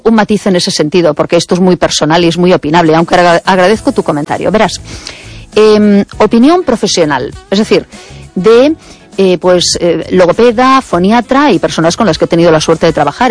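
Veteran woman radio host, quick and insistent, fillers, faint music under the broadcast. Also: on the low side, animated, a little mellow. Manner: fast-talking, insistent, many fillers. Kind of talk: radio conversation.

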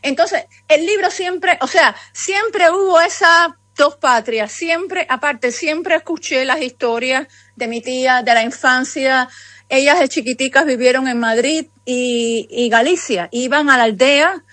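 A woman's voice: sits high, unhurried, fairly bright tone, no room sound. A woman in her fifties with a Cuban accent, a guest author talking through her story in a rambling, conversational way full of asides. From Cuba. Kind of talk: remote interview conversation.

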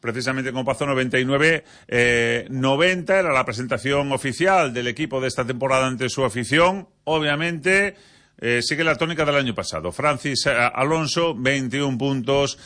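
A male sports presenter with a Spanish accent from Spain delivers a news bulletin. His voice is sharp and bright at an average pitch. He often hesitates and gropes his way through the items. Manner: halting delivery with frequent hesitations.

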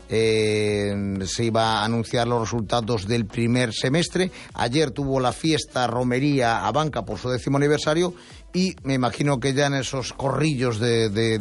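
A man hosting a radio show, chatting and reading news with many fillers and restarts. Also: medium pitch. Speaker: radio host. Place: radio studio.

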